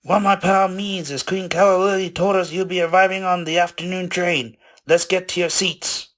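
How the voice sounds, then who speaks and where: Man voicing an eager high-pitched sea pony porter. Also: flat delivery, normal speed, a bit bright. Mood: polite. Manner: formal, stilted, over-eager salesmanship. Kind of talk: animated cartoon voice acting.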